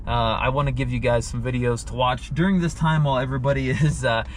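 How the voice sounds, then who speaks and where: A man's voice: mid-range voice, steady tone, fast talker. A man in his twenties, a car vlogger, chatting casually and rambling with lots of fillers while driving his pickup truck. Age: 20s. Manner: rambling, filler-heavy, enthusiastic. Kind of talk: casual car review vlog. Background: vehicle noise.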